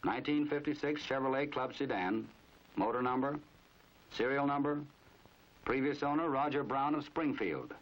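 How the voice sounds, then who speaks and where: A man's voice: medium pitch, moderate pace, natural voice. An American man narrating a 1950s crime training film in a knowing, dramatic storytelling manner. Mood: wry, measured. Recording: dull, band-limited 1950s film soundtrack.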